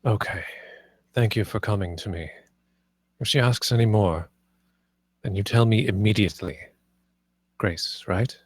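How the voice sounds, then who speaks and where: A man's voice: lowish voice, measured pace, long pauses, dry recording. A man playing a guarded, controlling master of a household in a screenplay table read. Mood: guarded, commanding.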